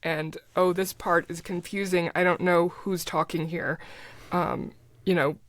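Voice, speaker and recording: A middle-aged woman with a somewhat low voice talks casually as an interview guest. She explains things conversationally. The sound is dry and close, with little room in it. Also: flat delivery, unhurried, no room sound. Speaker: podcast guest, writer and producer.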